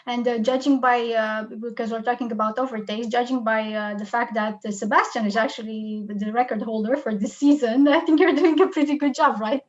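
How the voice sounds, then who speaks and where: Chatty woman interviewer, slightly high voice. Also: fairly even intonation, fairly quick, hardly any pauses. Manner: friendly, chatty, with many fillers. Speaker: interviewer, host. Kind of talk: conversational interview. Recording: slightly dull lo-fi sound.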